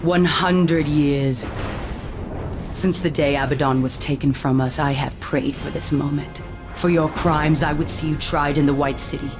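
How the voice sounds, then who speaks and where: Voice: medium pitch, fairly even intonation, measured pace, lightly acted. An actor playing a righteous, vengeful angelic avenger in a solemn, formal declaiming voice, in a video game cutscene with music. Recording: game audio with loud score.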